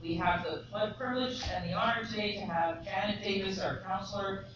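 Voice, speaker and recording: A man introducing a guest speaker at a press conference, a little halting. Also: sits high, fairly even intonation, highs cut off. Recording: distant, echoey, noisy.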